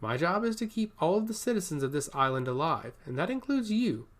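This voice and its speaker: A man's voice: a little high, sing-song, a little fast. A man voicing a humble, protective island prince in an earnest, reassuring manner. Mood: earnest resolve.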